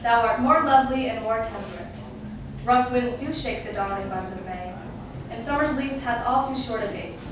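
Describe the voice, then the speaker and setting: A woman's voice: on the high side, moderate pace, lightly acted. A woman playing a wistful, lovelorn male Elizabethan playwright in a formal, declamatory theatrical monologue in archaic English. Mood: poetic, reverent. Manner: declamatory, formal, poetic delivery. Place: stage, live performance. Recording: echoey noisy stage, recorded from far off.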